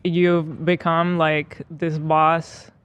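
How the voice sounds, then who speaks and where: Smooth-voiced Israeli woman, soft and chatty. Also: low voice, flat delivery, extremely slow, dry recording, dull audio. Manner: soft-spoken, storytelling with many fillers. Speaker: co-host. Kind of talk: casual podcast chat.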